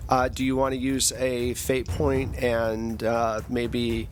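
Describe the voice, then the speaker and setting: Male game master, halting improvised narration of a tabletop adventure, mid-range pitch, noisy room. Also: completely flat delivery.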